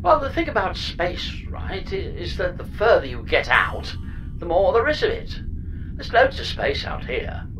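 A woman's voice: on the low side, huge pitch swings, a little slow, noisy background. A woman voicing a chirpy, scatterbrained space pod computer in a sci-fi audio comedy, speaking with whimsical, flippant, hammy relish. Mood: blithe.

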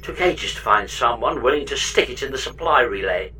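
A woman playing a chatty, jealous, mischievous AI pod companion in a comedy sci-fi audio drama, with theatrical, excitable delivery. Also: a bass voice, fairly quick, full character voice. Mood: mischievous, curious.

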